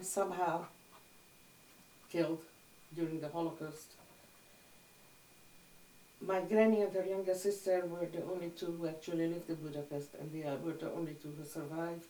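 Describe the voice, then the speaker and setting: An older woman whose first language is Hungarian, calmly recalling her childhood in an oral history interview. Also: on the low side, normal speed, long pauses. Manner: reflective, plain-spoken storytelling. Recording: roomy sound from a distant mic.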